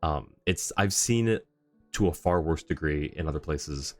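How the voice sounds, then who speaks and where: A man's voice: very deep voice, normal speed, no echo, soft background music. A man livestreaming a game, chatting casually and thinking aloud in an easygoing way.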